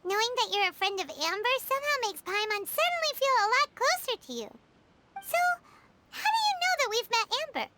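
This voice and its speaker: A woman voicing a tiny, childlike floating fairy companion in an anime-style video game, chirpy, bubbly and excitable. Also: very high-pitched, a little fast, exaggerated voice. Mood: friendly, curious. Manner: refers to self in third person, bubbly and exclamatory.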